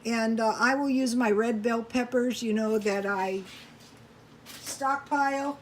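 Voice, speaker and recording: A woman's voice: a little high, slow pace, slight background noise. A woman chatting casually to viewers while cooking at home, thinking aloud in a rambling, folksy way. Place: home kitchen.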